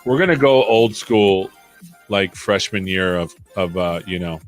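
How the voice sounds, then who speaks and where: A man's voice: low voice, unhurried, soft background music. A man hosting a developer livestream, coding live and chatting as he works, often muttering to himself. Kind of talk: live coding, thinking aloud.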